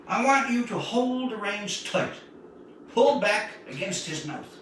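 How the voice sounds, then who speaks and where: A man's voice: sits high, lively intonation, fairly bright tone, voice pushed up. Man reading as stern father, echoey room. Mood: firm, cautious. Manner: firm, instructive, measured. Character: stern, cautious father instructing his young son. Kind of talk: storybook reading. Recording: echoey room, distant mic, faint noise.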